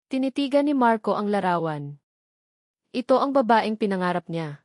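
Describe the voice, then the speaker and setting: A woman reading a dramatic love story as narrator, in a solemn, sentimental and deliberate way. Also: medium pitch, animated, darkish tone, dry recording, studio quality.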